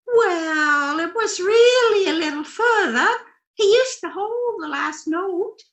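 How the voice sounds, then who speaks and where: A woman's voice: extremely high pitch, lively intonation, takes it slowly, fairly bright tone, full character voice. A woman playing a sweetly naive, scatterbrained wife in a 1940s-style radio comedy, with a girlish voice. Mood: fond, chatty. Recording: roomy, echoing recording.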